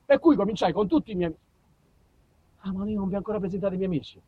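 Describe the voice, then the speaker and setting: Theatrical high-voiced man, wildly animated. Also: quick pace, lo-fi sound, slight background noise. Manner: emphatic, dramatic, swinging from hushed to shouting. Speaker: solo storyteller and actor. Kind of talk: theatrical monologue, comic storytelling.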